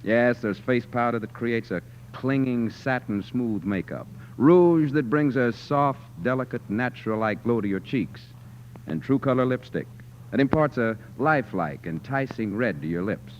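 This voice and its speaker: A man's voice: medium pitch, lively intonation, moderate pace. An American man working as a radio announcer, reading a scripted 1940s commercial with polished, emphatic salesmanship. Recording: dull, slightly noisy 1940s radio recording.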